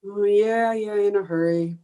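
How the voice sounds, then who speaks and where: A woman's voice: mid-range voice, fairly even intonation, a little slow, warm tone, natural voice. A woman playing a scatterbrained, wisecracking 1950s housewife in an old-time radio sitcom, with dry sarcasm and comic exasperation. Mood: dazed, flustered. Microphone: home microphone over video call.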